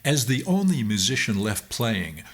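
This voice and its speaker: A middle-aged or older man reading a scripted first-person memoir in an expressive, theatrical storytelling manner. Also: lowish voice, normal speed. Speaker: storyteller, former music teacher.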